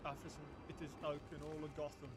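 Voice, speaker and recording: A man's voice: on the high side, quick pace. A young man giving casual, profane commentary while he plays a game. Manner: profane, excitable, joking. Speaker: streamer. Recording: loud game music behind.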